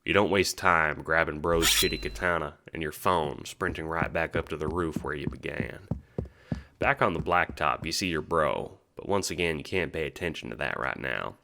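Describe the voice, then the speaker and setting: Very deep-voiced man narrating drama. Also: normal speed, vocal fry, background noise. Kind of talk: second-person audio drama narration.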